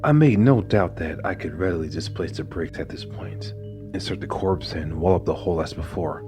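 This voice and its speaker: A very low-voiced, tormented murderer, acted by a man, confesses in a theatrical Gothic horror style over clear music. His delivery is brooding and dramatic, that of a madman narrating his own dark tale. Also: moderate pace, natural voice. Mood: coolly deliberate. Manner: theatrical, swings between breathy whispers and furious outbursts.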